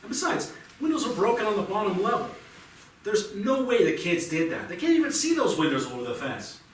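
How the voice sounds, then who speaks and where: Defensive middle-aged dad played by a male stage actor, animated and argumentative, heard far off in a reverberant theatre. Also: high voice, brisk. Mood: combative. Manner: insistent, argumentative, stumbling over restarts. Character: defensive, combative father shielding his teenage son. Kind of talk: live staged play reading, naturalistic. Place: indoor theatre stage, recorded from a distance. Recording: echoey, distant theatre recording with faint noise.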